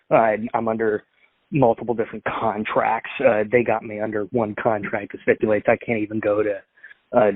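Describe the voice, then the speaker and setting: A man's voice: on the low side, no room sound. A man making a prank phone call, rambling and full of fillers and restarts. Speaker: prank caller. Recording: tinny dry phone line.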